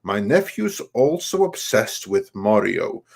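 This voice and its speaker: A man co-hosting a live stream, reading viewer comments aloud in a halting way and responding casually. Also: on the low side, big pitch swings, vocal fry, husky. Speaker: co-host reading viewer messages.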